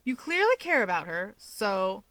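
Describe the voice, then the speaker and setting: A woman's voice: medium pitch, sing-song, a little slow, a bit bright, dry recording, poor audio. A woman voicing an earnest, teasing young man who keeps pushing in a casual way. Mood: prodding, earnest.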